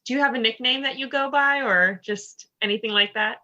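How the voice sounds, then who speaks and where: A woman's voice: on the high side, brisk, pushed and bright, lo-fi sound. A woman hosting a casual podcast interview, upbeat and chatty, with plenty of fillers and "like". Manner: upbeat, lots of fillers and 'like'. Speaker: podcast host.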